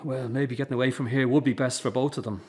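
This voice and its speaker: A man's voice: medium pitch, fairly even intonation, fast, darkish tone, husky, lightly acted. A middle-aged man acting in a radio drama as a regretful estranged husband and father, subdued and resigned in manner. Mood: weary, worried.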